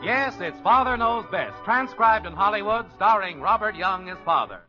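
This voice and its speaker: Genial 1950s radio storyteller, animated high delivery, music behind, muffled sound. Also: male voice, normal speed. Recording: muffled old radio recording.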